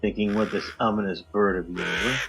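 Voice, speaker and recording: A man guesting on a podcast, reading a poem in a measured, low dramatic delivery over a video call. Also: steady tone, gravelly, music in the background.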